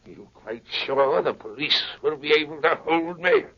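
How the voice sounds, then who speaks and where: An actor playing a menacing, defiant criminal mastermind in a 1930s radio drama, gravelly and deliberate. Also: male voice, fairly high pitch, animated, a bit bright, heavily acted. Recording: dull old 1930s radio broadcast.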